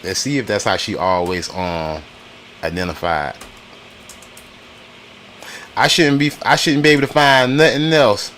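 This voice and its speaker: A man's voice: fairly low pitch, measured pace, pauses often, fairly bright tone, a little hiss or hum. A man with an American accent giving casual, opinionated reaction commentary on video clips, blunt, mocking and full of slang.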